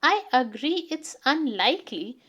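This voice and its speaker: A woman voicing a patient, knowledgeable mother in a children's storybook, explaining things in a measured way. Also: high voice, sing-song, a bit bright, exaggerated voice. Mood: gently persuasive. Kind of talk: children's storybook character reading.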